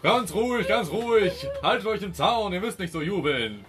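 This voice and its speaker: Very high-pitched, animated male player. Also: normal speed, faint music behind. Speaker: tabletop player. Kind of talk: casual, joking role-play.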